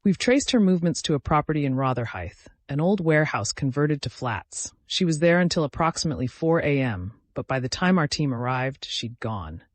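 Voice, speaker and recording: A man's voice: fairly high pitch, very animated, brisk, few pauses, no echo. A man voicing a grim, businesslike female police detective in an audiobook, terse and official.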